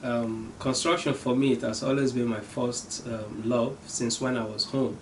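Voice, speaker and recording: A man's voice: medium pitch, steady tone, moderate pace, slight background noise. A man from Nigeria, a company owner, answering interview questions earnestly with frequent hesitations. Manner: earnest, hesitant with many ums.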